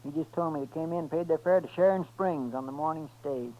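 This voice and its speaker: A man's voice: on the high side, quick pace, mellow, natural voice. A man playing a loyal, deferential American marshal's helper in a 1950s radio western, folksy and respectful. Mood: earnest. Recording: dull, noisy vintage radio recording.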